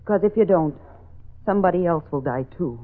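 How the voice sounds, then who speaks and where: A woman's voice: lowish voice, lively intonation, fairly quick, natural voice. An actress playing a secretive young woman in a vintage radio mystery, urgent and insistent in her pleading. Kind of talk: 1940s-50s radio drama acting. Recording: tinny old radio recording.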